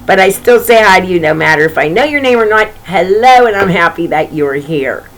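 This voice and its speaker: Animated woman reading stories to children. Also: fairly low pitch, fairly quick, low-quality recording. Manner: chatty asides, repeats phrases, addresses child listeners directly. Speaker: children's story reader and host. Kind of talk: chatty picture-book read-aloud to children.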